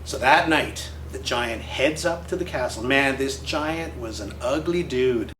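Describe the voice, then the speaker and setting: A man's voice: mid-range voice, unhurried, a bit bright, a little hiss or hum. A man reading a picture book aloud in character as a boy, with brash, slangy, emphatic delivery. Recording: roomy echo with faint music.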